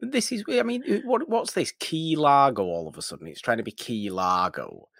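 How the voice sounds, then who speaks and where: A man's voice: mid-range voice, animated, normal speed, gravelly, a bit bright, no room sound, clean studio sound. A man co-hosting a podcast, casually discussing film in an emphatic way, repeating phrases and throwing out rhetorical questions. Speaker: podcast co-host.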